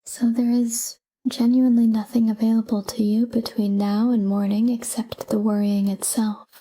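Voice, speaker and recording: A woman leads a sleep talk-down in a very dark, mellow voice. She speaks softly and breathily, close to a whisper, and keeps an even, calm delivery. Her short phrases are unhurried, with space left between them. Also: fairly high pitch, slightly rough, poor audio. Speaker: sleep meditation guide. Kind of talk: soft guided sleep meditation, whispered talk-down.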